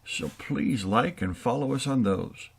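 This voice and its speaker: A man announces as the host of a radio theater show. His voice is mid-pitched, with very animated intonation. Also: unhurried, vocal fry, husky. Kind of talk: announcing credits and thanks.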